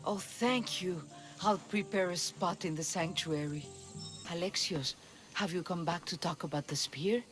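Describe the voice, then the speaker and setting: An actress playing a devout, hopeful priestess in a video game, earnest and gently pleading. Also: female voice, on the low side, measured pace, music in the background, natural voice. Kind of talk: video game cutscene acting.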